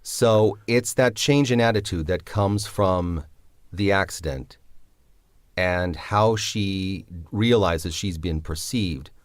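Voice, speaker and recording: A man with a very low voice answers interview questions about his own writing. He speaks reflectively and often hesitates, and the sound is dry and close to the mic. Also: slow pace, no room sound. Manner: reflective, hesitant, many fillers. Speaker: writer of the drama.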